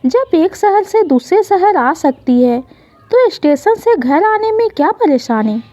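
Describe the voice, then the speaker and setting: A woman's voice: very high-pitched, very animated, measured pace, mellow, heavily acted. A woman voicing a brusque, impatient adult brother in a story, dismissive and argumentative.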